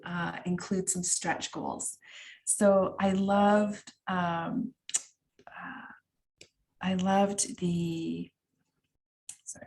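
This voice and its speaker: A woman's voice: medium pitch, darkish tone. A middle-aged Canadian woman leading an online workshop over a video call, talking in a chatty way with many fillers and asides. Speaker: workshop facilitator.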